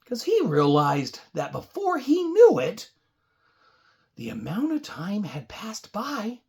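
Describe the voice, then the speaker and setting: A woman storyteller with a gravelly, raspy voice improvises a puppet show for kids. She takes her time, rambling into wry asides, and her pitch moves around a lot. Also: darkish tone. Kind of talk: improvised comic children's story narration.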